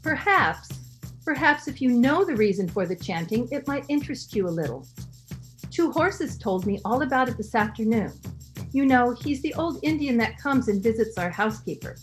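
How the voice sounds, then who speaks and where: A fragile, adoring wife played by a woman in an old-time radio melodrama. Her voice is high and earnest, always anxious to please, with faint music behind. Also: big pitch swings, normal speed. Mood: hopeful. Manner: gentle, earnest, pleading. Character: frail, devoted, anxiously pleading invalid wife. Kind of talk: 1930s radio drama acting.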